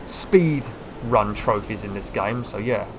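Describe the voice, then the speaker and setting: A man with a croaky voice, giving rambling casual commentary while playing a video game. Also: fairly low pitch, slow pace, fairly bright tone, a little hiss or hum. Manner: rambling, chatty, self-deprecating. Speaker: gaming YouTuber.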